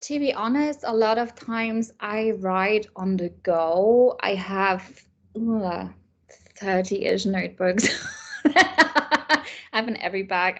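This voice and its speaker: A woman. Her voice sits in a middle pitch, and her talk is relaxed and thoughtful. She restarts her sentences often as she looks for the words. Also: highs cut off. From Germany. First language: German. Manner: thoughtful, many fillers and restarts. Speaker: author and illustrator guest. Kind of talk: casual interview chat.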